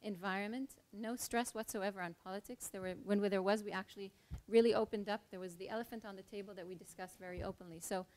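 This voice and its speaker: A woman's voice: mid-range voice, steady tone, quick pace, hardly any pauses, a little mellow, low-quality recording. Earnest woman on a panel. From Israel. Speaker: HR leader on a panel. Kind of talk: panel talk, personal story.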